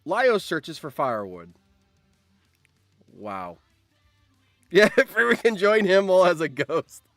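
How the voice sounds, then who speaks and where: A man live-streaming as host, reading out game results with animated, excitable commentary and surprised exclamations. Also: sits high, takes it slowly, no room sound, faint music behind.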